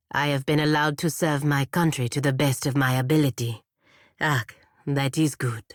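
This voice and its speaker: An actress playing a proud, duty-bound godlike knight in a video game, speaking formally and gravely. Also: female voice, very deep voice, no room sound, studio quality.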